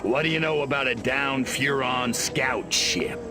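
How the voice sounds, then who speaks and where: A man playing a cocky, sadistic little alien invader in a video game, gravelly, sneering and wisecracking with menace. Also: medium pitch, unhurried, music in the background, natural voice. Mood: mocking menace. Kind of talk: video game cutscene acting.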